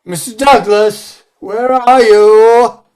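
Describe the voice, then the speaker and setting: A man acting as a grave clergyman in an old-time radio horror play. He speaks slowly and solemnly, full of fearful, melodramatic warning. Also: sits high, steady tone, darkish tone, smooth. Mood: dread.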